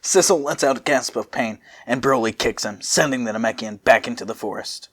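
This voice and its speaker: A man's voice: mid-range voice, fairly quick, a bit bright. A man narrating a story as an amateur host, with dramatic reading and jokey casual asides. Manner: jokey, laughs at the text.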